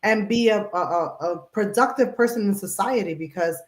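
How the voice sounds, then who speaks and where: A woman's voice: medium pitch, a little fast, reverberant. Woman podcast host, mid voice, emphatic and chatty, with everyday examples. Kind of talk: casual chat.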